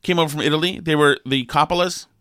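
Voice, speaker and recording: A man's voice: fairly high pitch, quick pace, sharp tone, no room sound, clean studio sound. A man co-hosting an opinionated talk show, sniffly, rambling with lots of fillers and restarts before turning emphatic.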